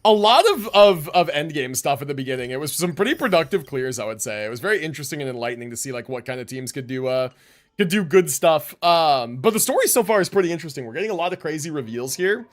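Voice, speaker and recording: High-voiced, animated young male streamer. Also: fast talker. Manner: informal, rambling, swears freely. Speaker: game livestreamer. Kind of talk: casual livestream chat to viewers.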